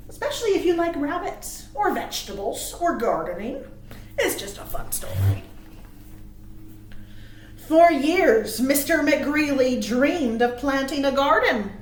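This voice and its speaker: A woman's voice: high-pitched, echoey room, slight background noise, poor audio. A woman reading a picture book aloud to young children, expressively and with dramatic emphasis, as a librarian at storytime. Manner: expressive, asks listeners questions, dramatic emphasis.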